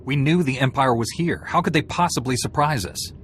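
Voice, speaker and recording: A man's voice: mid-range voice, a little fast, a little hiss or hum, close to an everyday voice. A man playing a serious, blunt warrior knight in a space-saga video game, curt and dry. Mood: stern, dismissive. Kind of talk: video game dialogue acting.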